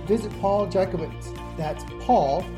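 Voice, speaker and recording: A podcast host reading sponsor messages in a measured, announcer-like way. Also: medium pitch. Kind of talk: scripted ad reads. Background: music bed.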